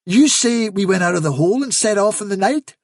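A man voicing a wily storybook rabbit chief who sounds fatherly, reasonable and gently condescending. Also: high voice, animated, a little fast, no room sound, studio quality, voice pushed up. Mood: polite, puzzled. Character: wily male rabbit chief, fatherly, patient, mock-kindly on trial. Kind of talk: storybook character reading.

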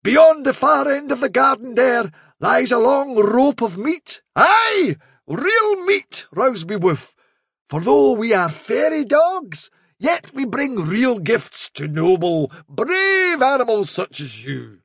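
A man voicing a wily trickster who poses as a grand fairy dog messenger in a storybook, full of drawn-out, theatrical flattery. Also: very high voice, vocal fry, breathy edge, dry recording, studio quality, pitched above the performer's own voice, heavily acted. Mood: regal, flattering. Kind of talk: dramatic storybook reading.

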